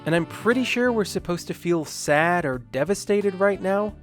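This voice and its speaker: A man's voice: sits high, animated, moderate pace. A man delivering scripted film review commentary with emphatic, sarcastic asides. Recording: music behind the voice. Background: background music.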